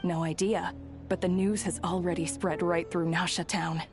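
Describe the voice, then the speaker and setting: A woman playing a gossiping townswoman in a video game cutscene, confiding and hushed as she passes on news. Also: little pitch movement, normal speed, over music, close to an everyday voice. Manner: confiding, lowers voice to share news. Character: gossiping townswoman, knowing and conspiratorial.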